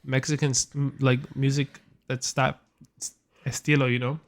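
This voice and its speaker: A man's voice: medium pitch, flat delivery, moderate pace. Young male co-host of Puerto Rican background; mid-range voice; loose, slangy, rambling chat. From Puerto Rican family background. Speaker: podcast co-host. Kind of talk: casual chat.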